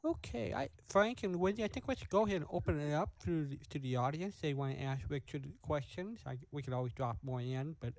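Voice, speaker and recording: A man interviewing informally over a video call, halting as he rephrases his questions. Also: fairly high pitch, lively intonation, gravelly, rough recording.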